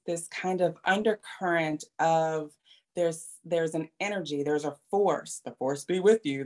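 A woman with a slightly low voice co-hosts an interview conversation. She speaks in a reflective, thoughtful way and builds her points at length. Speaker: podcast co-host.

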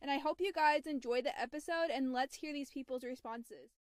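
A woman's voice: sits high, brisk, no echo, clean studio sound. A woman hosting a podcast, reading a scripted, earnest introduction. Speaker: podcast host.

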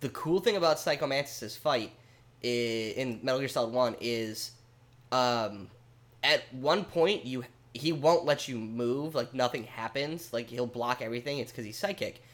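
Brief: male podcast co-host, mid-pitched, rambling and animated, swears casually. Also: moderate pace. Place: home basement studio.

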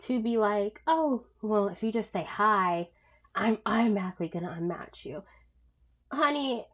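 Young woman w a stuffy cold voice, blunt and kinda sassy, jumps up loud sometimes, slightly muffled lo-fi audio. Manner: frank and blunt, with swearing and slang; animated, with sudden loud rises in pitch. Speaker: vlogger giving dating advice. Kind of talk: casual advice monologue.

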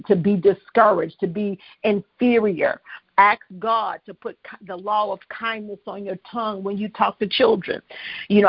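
A woman's voice: mid-range voice, no echo. Woman pastor preaching over a phone line. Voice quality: strong voice. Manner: preachy call-and-response with the listeners. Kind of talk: preaching on a live prayer call.

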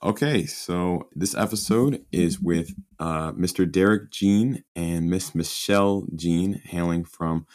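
A young man hosting a casual podcast interview, relaxed and quick to laugh. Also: low-pitched. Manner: relaxed, laughs often, easygoing. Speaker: podcast host.

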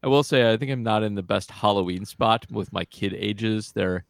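A man's voice: lowish voice, normal speed, creaky, no room sound. A man co-hosting a casual sports podcast, speaking in a dry, wry, grumbling way. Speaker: podcast co-host.

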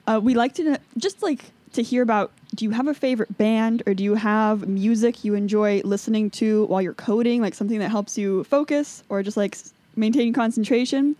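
A woman's voice: on the high side, warm tone, dry recording. A woman co-hosting a podcast interview who asks questions and responds with upbeat encouragement. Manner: upbeat, quick to laugh and encourage. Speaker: podcast co-host. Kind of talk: casual interview chat.

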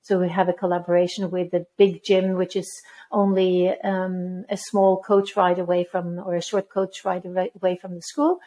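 Norwegian-born woman, flat mellow delivery. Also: lowish voice. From Norway. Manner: measured, with frequent ums and restarts. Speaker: head of school, guest. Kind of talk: interview answers, conversational.

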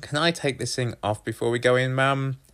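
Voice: mid-range voice, fairly even intonation, a little fast, fairly bright tone, pitched above the performer's own voice, lightly acted. A narrator voicing a self-conscious schoolboy in a storybook, whiny, sarcastic and complaining. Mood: pleading.

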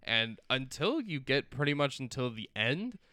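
A man's voice: medium pitch, very animated, normal speed, pushed and bright, no room sound. A young man co-hosting a podcast, rambling casually about films with many fillers and restarts. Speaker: podcast co-host. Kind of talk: casual chat.

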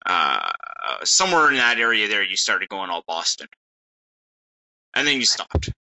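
A man's voice: fairly low pitch, very animated, moderate pace, bright, forceful tone, rough recording. A man co-hosting a podcast in casual banter, teasing his friend and talking with many "like" fillers. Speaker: podcast co-host.

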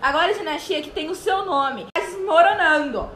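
A woman's voice: very high voice, moderate pace, a bit bright. A woman acting an exasperated, scolding young mother caught in scandal in an amateur radio soap. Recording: echoey room, faint noise.

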